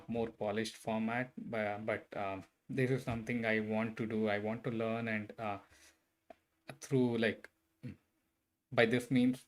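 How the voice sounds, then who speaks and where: Guy, 30s, chatting on his coding stream, low flat voice, lots of uh and restarts, mic a bit far. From Bangalore, India. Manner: hesitant, many fillers and restarts, friendly. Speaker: livestreaming software engineer. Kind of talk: casual live-stream chat with viewers. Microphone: desk mic set a bit far.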